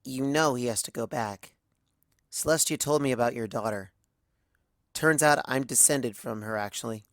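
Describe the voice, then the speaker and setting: A man's voice: medium pitch, normal speed, fairly bright tone, no echo, clean studio sound, close to an everyday voice. Man voicing an earnest, hesitant young mare. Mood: confiding. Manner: hesitant, polite. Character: earnest, inquisitive female pony, hesitant and polite.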